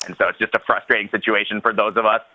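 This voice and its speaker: A frustrated man pours out his view on a tinny, phone-like line. His voice is low and the sound is completely dry. He talks passionately and runs his thoughts together. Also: little pitch movement, no room sound. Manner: frustrated, fast-flowing, passionate. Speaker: caller.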